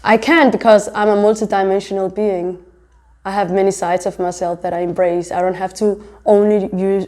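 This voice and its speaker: A young woman with a low, mellow voice answers interview questions in a relaxed, chatty way. She fills her sentences with "um" and "like" as she explains her work. Born in Somalia and raised in Denmark. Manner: chatty, many fillers like "um" and "like". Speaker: fashion designer and pharmacy student.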